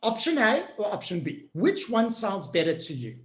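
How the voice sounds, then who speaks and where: A woman trainer leads a video-call workshop, cheering her group on. Her pitch swings up and down a great deal as she speaks. The call picks up a roomy echo around her. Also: wildly swooping pitch, normal speed, a little hiss or hum. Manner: upbeat, encouraging, talks to the group directly. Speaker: change management trainer hosting a webinar. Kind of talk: online workshop coaching.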